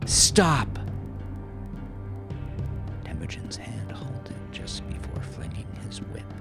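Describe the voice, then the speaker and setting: A man narrating a dark audiobook story in a grave, suspenseful, deliberate manner. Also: fairly low pitch, very animated, vocal fry, whispered. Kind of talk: dramatic scripted audiobook narration. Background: music under the voice.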